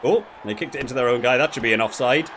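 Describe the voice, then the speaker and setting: Man thinking aloud over gameplay, background noise. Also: mid-range voice. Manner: thinking aloud, critical, halting with repeats. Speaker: gaming video creator reviewing while playing. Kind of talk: casual gameplay commentary.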